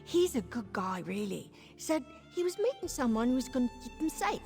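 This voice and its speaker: A woman's voice: a little high, big pitch swings, moderate pace, a little mellow, soft background music. An actress playing a drunken female squatter in a fantasy video game, rambling, stumbling over words and lying badly. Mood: tipsy, muddled. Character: tipsy, rambling female squatter, chatty shameless bad liar. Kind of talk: video game character dialogue.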